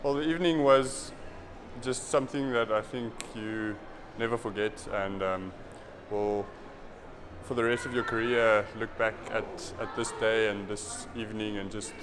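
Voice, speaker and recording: A man's voice: lowish voice, fairly even intonation, measured pace. A young male researcher speaking reflectively and a bit hesitantly in an interview after an awards evening. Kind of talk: event interview. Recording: noisy event recording.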